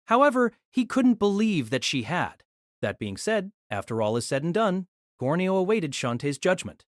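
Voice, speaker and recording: A woman's voice: fairly low pitch, dry recording, clean studio sound. A woman reading scripted narration of a light novel as the narrator of an audio drama.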